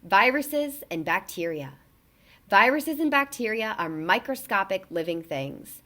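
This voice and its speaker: A woman reading a children's picture book aloud in an expressive, emphatic storytelling delivery. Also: mid-range voice, a little slow.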